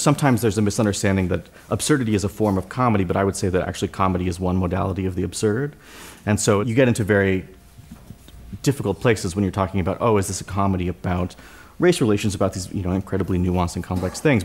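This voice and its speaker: A man with a very low voice discusses his craft as a playwright. He talks fast, in an analytical and intellectual manner, as part of a live discussion. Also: darkish tone. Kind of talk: analytical talk on stage. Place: live theatre in front of an audience.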